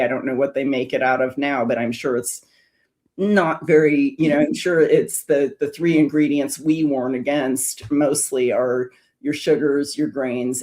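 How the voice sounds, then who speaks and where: A woman's voice: rumbling low, darkish tone. A woman speaking as an interview guest on a video call, earnestly telling a long story and often restarting her sentences. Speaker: guest, food addiction recovery specialist.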